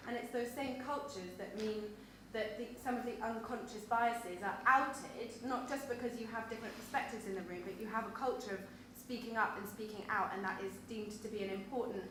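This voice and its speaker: Woman, panelist, thoughtful, hesitant, booming reverb, clear room noise. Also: a little fast. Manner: thoughtful, searching for words. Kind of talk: panel discussion. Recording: very echoey hall, background noise.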